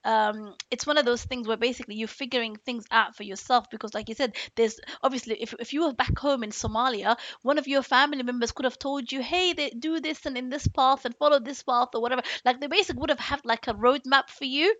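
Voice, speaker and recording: A woman's voice: sits high, quick pace, hardly any pauses, a bit bright, dry recording. British woman hosting an interview. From United Kingdom. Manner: engaged, affirming, sums up the guest's points. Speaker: host. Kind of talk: casual conversational interview. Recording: dull lo-fi, dry sound.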